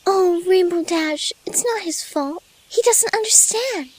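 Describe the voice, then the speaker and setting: A high-voiced young cartoon pony mare, acted out by a woman, gentle and motherly. She coaxes and reassures in a patient, soft-hearted way, as a character in an audio drama. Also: measured pace. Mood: soothing.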